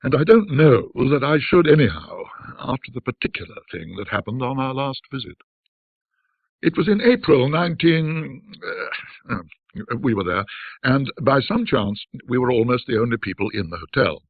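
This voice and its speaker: A man with a husky voice narrating a classic ghost story in a measured, theatrical, reflective manner. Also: mid-range voice, expressive, dry recording, phone-quality sound.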